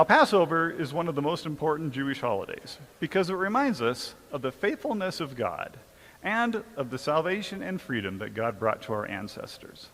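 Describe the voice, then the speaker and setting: A man's voice: medium pitch, creaky, a bit bright. A man playing an earnest disciple of Jesus, recounting and explaining events expressively in a live church drama. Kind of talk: dramatic storytelling in a church performance. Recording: reverberant hall.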